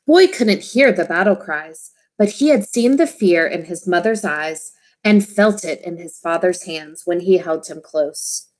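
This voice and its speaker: A woman teacher reading a children's storybook aloud, expressively and in simple, deliberate phrases for young listeners. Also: lowish voice, animated, a little mellow.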